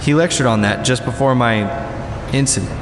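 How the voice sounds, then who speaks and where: A man's voice: medium pitch, normal speed, lightly acted. An actor playing an analytical man giving a case briefing in an audio drama, matter-of-fact and expository. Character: analytical adult male ally briefing a detective. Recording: echoey, reverberant, noisy background.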